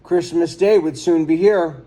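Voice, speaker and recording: High-voiced man reading a children's story. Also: measured pace, warm tone. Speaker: storyteller reading a picture book. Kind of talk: children's story read-aloud.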